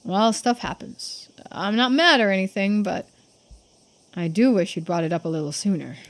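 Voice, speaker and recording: A woman's voice: mid-range voice, moderate pace, natural voice. A woman voicing a shrewd, laid-back young man in video game dialogue, speaking matter-of-factly and casually. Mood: casual, mildly put out. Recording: game music behind the voice.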